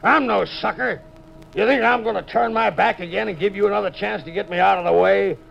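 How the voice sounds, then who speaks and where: An actor playing a surly, suspicious crooked ship's captain in a 1950s radio drama, gravelly, with blustering tough-guy slang. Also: high-pitched, a little fast, fairly bright tone, full character voice. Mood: accusing, angry. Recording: dull, hissy 1950s radio recording.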